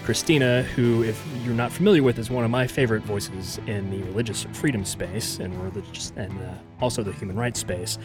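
A man's voice: on the low side, a little fast, few pauses, over music. A man hosting a podcast interview, talking in a casual, hesitant way with many fillers. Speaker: podcast host.

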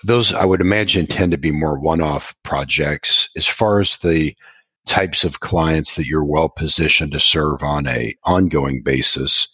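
Male podcast host, very deep and husky, friendly measured questioner, dry booth sound. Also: pushed and bright, dry recording. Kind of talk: casual interview hosting.

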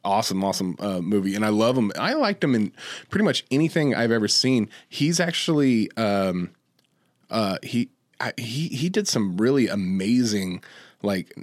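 Animated low-voiced man chatting. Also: moderate pace, no room sound, studio quality. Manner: enthusiastic, rambling, repeats himself. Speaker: podcast co-host. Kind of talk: casual podcast chat about movies.

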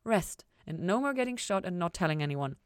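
A woman's voice: fairly low pitch, animated, a little fast, clean tone, no echo, studio quality, lightly acted. A woman voicing a kind, curious young woman in an audiobook story, speaking casually and expressively with frank, teasing eagerness. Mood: warm, upbeat. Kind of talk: acted fan fiction audiobook dialogue.

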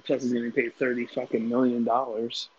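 A man's voice: mid-range voice, little pitch movement, a little fast, dull audio, a little hiss or hum. A man co-hosting a podcast in casual, profane banter, using a lot of bro-style slang. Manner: slangy, says "bro" often. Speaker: podcast co-host.

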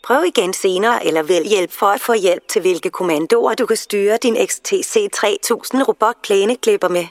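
A man voicing a female voice-assistant app that answers in a flat, repetitive, mechanical way. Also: sits high, no room sound, studio quality, pitched above the performer's own voice. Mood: robotic polite. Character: female robotic voice-assistant app, polite and unhelpful.

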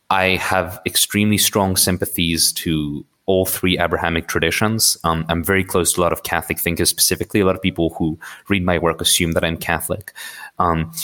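A man's voice: deep voice, fairly bright tone. A man from Morocco, a political theorist interviewed on a podcast, speaking thoughtfully with frequent restarts and hedges. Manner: thoughtful, hedging with many restarts.

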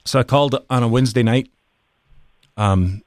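A man in his fifties telling a personal story as a podcast guest in a reflective, halting way. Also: mid-range voice, dry recording, studio quality. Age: 50s.

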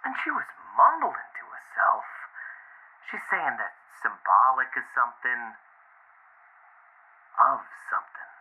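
An actor playing an agitated boyfriend coming undone, rambling and swearing in halting speech over the phone in an audio drama. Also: male voice, medium pitch, huge pitch swings, sharp tone, breathy edge, heavily acted. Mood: frustrated, frantic. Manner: rambling, profane, halting with bitter laughs. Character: agitated, unravelling boyfriend ranting about his partner's obsession. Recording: tinny, narrow phone line.

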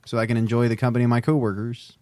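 A man chatting casually as a podcast co-host, with a dry, deadpan and learned wit. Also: mid-range voice, very fast, no echo. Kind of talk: casual chat.

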